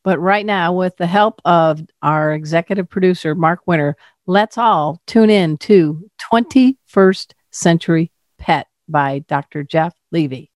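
A woman's voice: on the low side, a little slow, no echo. A woman hosting a pet radio show in bubbly, playful casual chat, laughing often.

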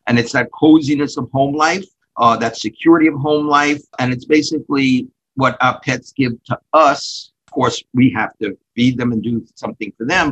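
A man's voice: mid-range voice, fairly bright tone, slightly rough, highs cut off. A man talking as a guest songwriter in reflective interview conversation, often repeating phrases as he thinks.

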